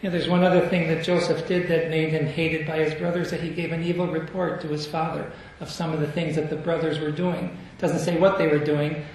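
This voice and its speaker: Male study leader, gravelly, questioning and chuckling, echoey distant room recording. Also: on the high side, little pitch movement, a little fast, few pauses, a little mellow, a little hiss or hum. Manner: asks the group questions, chuckles often. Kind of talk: group Bible study discussion. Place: meeting room at a convention. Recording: echoey room, far from the mic.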